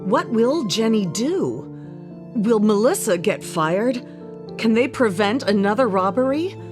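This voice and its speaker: A woman's voice: on the high side, expressive, unhurried, over music. A woman reading scripted narration for a radio drama.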